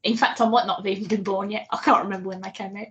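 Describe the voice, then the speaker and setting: A British woman co-hosting a podcast, chatting casually in an informal, jokey and expressive manner. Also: mid-range voice, rapid-fire, highs cut off. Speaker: podcast co-host. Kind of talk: casual chat.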